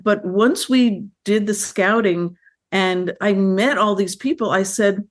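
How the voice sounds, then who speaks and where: A woman's voice: medium pitch, slow pace. Chatty woman filmmaker telling stories. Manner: animated, chatty storytelling with fillers and restarts. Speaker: filmmaker guest. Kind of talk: conversational interview.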